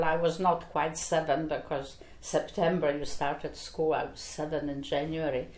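An older woman from Poland, recounting her early life in an oral history interview, with slow, reflective speech and frequent fillers. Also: fairly low pitch, a little mellow. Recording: echoey room.

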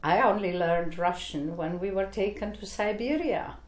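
An older woman from Poland, recounting her early life in an oral history interview, with slow, reflective speech and frequent fillers. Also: medium pitch. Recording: echoey room.

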